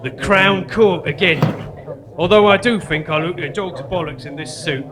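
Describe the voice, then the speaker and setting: A man's voice: high voice, normal speed, close to an everyday voice. A man acting in a live stage comedy as a dim, nervous, grumbling sidekick to a petty criminal, slangy and colloquial. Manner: colloquial, slangy, deferential then exasperated. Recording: live stage recording with clear noise.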